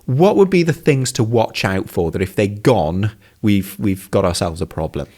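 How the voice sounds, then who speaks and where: A man's voice: on the low side, animated, normal speed, a little mellow. A man co-hosting a teaching podcast, asking a long rambling question full of "you know" and "sort of". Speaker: podcast co-host, education lecturer.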